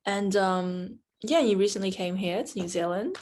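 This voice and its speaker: A woman's voice: mid-range voice, moderate pace, a little mellow. A woman interviewing a guest in casual chat, encouraging and repeating short replies like "cool, cool".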